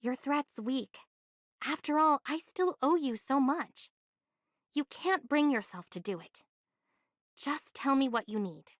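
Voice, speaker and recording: A woman's voice: sits high, lively intonation, measured pace, pauses often, no room sound, clean studio sound. A woman voicing a level-headed, assertive young envoy in an anime game, speaking in an earnest, articulate way. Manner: earnest, articulate, matter-of-fact.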